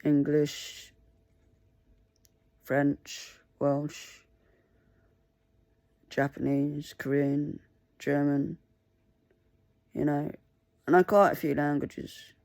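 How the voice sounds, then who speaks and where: A woman whose first language is Mandarin, talking earnestly to camera in a halting, pleading way. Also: low-pitched, little pitch movement, darkish tone, no echo. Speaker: vlogger. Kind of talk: casual talk to camera.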